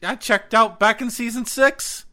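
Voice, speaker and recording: A man's voice: extremely high pitch, steady tone, a little slow, pushed and bright. A man co-hosting a podcast in casual banter, exclamatory and sweary, given to sarcastic ranting. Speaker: podcast co-host. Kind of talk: casual banter about TV and games.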